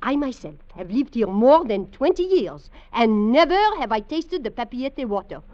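French hostess, French accent, animated intonation, formal, coaxing, radio drama, dull recording, faint music. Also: female voice, on the high side, normal speed. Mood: courteous, insistent. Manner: persuasive, formal, insistent. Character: insistent, courteous middle-aged French restaurant hostess. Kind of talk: old-time radio drama acting.

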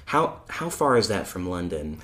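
A woman's voice: rumbling low, sing-song, normal speed, a bit bright. Host, woman, neutral American accent, talkative and giggly, roomy echo. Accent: neutral American, hard to place. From Chicago. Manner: chatty, laughs often, acts out voices. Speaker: podcast host. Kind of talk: casual church interview chat.

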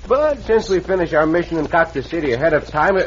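A man's voice: fairly high pitch, lively intonation, fast, close to an everyday voice. Man acting as a gallant caballero, courteous, faint hiss. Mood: calm. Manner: courteous, smooth. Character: suave Mexican caballero hero, calm and gallant. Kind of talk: 1950s radio western acting. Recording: dull old radio transfer, faint hiss.

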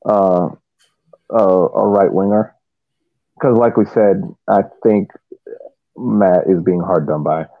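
A man co-hosting a podcast, giving casual sports analysis in halting, reflective short phrases over a narrow, thin connection. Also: low voice, steady tone, no room sound. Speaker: podcast co-host.

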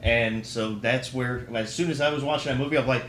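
A man co-hosting a podcast, chatting casually in an animated, jokey way. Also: mid-range voice, a little fast. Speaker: podcast co-host. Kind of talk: casual chat. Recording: roomy echo, faint noise.

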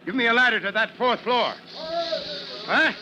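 An actor playing a gruff, commanding fire chief who gives brisk, clipped orders in old-time radio drama style. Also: male voice, extremely high pitch. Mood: urgent shouting. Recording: dull old radio recording, faint noise.

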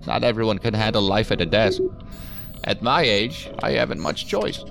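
A man's voice: fairly low pitch, moderate pace, over music. An actor playing a wry, flirtatious federal agent in his seventies in an audio drama, with playful, theatrical delivery. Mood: wry, reflective. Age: 70s. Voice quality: creaky.

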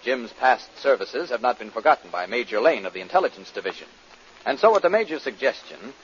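A man announcing and narrating in an emphatic, declamatory 1940s radio style. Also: mid-range voice. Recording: muffled, noisy vintage radio broadcast.

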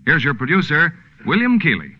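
Very animated vintage radio announcer man. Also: a little high, fairly quick. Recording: muffled vintage radio broadcast.

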